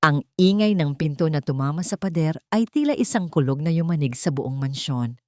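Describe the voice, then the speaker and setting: Low-voiced woman narrating melodrama. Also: expressive, normal speed, warm tone, dry recording, clean studio sound. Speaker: audio drama narrator. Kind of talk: dramatic scripted storytelling.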